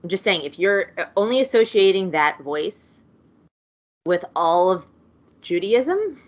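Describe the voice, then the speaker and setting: A woman with a lowish voice talks in a rambling, casual way, full of fillers and side remarks. The recording sounds dull and lo-fi. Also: big pitch swings, measured pace. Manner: rambling, lots of fillers and asides. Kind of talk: casual chat.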